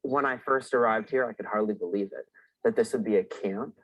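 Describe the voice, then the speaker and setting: A man's voice: fairly low pitch, a little fast, a bit bright, husky, no echo, low-quality recording. A young man from Rhode Island, a college student and camp counselor speaking sincerely in a testimonial. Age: young adult. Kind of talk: testimonial interview.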